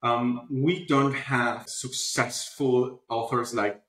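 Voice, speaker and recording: A man's voice: medium pitch, fairly even intonation, slow, a bit bright. A man speaking as an interview guest, explaining casually and often checking that the listener follows. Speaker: podcast guest, company founder. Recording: roomy, echoing sound.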